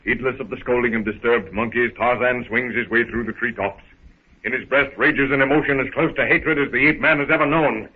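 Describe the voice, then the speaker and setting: A man's voice: mid-range voice, fairly even intonation, brisk, slightly rough. Theatrical male narrator on vintage radio. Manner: theatrical, present-tense storytelling. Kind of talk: dramatic 1930s radio narration, scripted. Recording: scratchy, phone-like 1930s radio recording.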